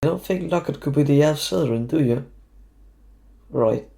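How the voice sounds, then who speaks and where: A man playing an anxious, blunt schoolboy wizard in an audio drama, halting and incredulous. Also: a little high, creaky, dark tone. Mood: doubtful. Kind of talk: fan audio drama acting.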